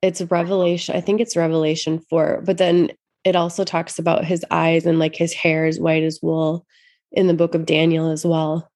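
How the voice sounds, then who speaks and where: Woman co-host, stuffy nose, lower voice, dry close sound, chatty and rambling. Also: little pitch movement, moderate pace, darkish tone, no echo. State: stuffy nose, just over an illness. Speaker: podcast co-host. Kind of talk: casual faith podcast chat.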